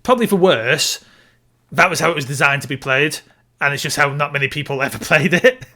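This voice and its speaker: A man's voice: a little high, fairly quick, pushed and bright. A man co-hosting a podcast, chatting casually about films and games. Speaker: podcast co-host.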